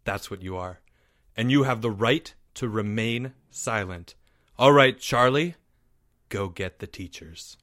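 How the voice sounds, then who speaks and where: A man plays a stern boy detective from a children's story. He interrogates with deliberate command and a tense, forceful tone. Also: medium pitch, dry recording, clean studio sound, natural voice. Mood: cool, suspicious. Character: stern, accusing schoolboy playing detective.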